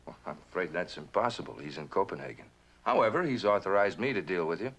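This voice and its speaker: A smooth corporate right-hand man in an old TV drama. His low voice moves widely in pitch, polished on top, with condescension and quiet menace underneath. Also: animated, quick pace. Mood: polite. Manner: polished, controlled, veiled menace. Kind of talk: mid-century American television drama acting.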